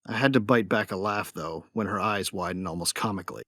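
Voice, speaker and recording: A man as a low-voiced wry young adventurer. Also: fairly quick, fairly bright tone, no echo, clean studio sound. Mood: wry, guarded. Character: wry, guarded young male space adventurer. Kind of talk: first-person narration and dialogue.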